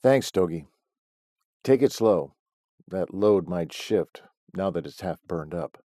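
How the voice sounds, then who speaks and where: A stern middle-aged ranch boss, terse and impatient, voiced by a man narrating an audiobook. He sounds low, close, and dry. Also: lively intonation, takes it slowly, mellow, no room sound, full character voice. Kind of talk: audiobook character voice.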